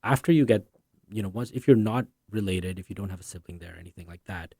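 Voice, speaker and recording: A man in his thirties from India, a podcast guest telling his story in a relaxed way, often tagging lines with "right?". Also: sits low, fast talker, vocal fry, dry recording, studio quality. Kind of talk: conversational interview.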